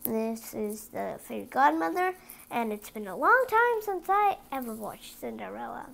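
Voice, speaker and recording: A woman's voice: on the high side, big pitch swings, moderate pace, creaky, a bit bright, a little hiss or hum. A woman reading a storybook aloud for children, expressively, with chatty asides to her young listeners. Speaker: storybook reader.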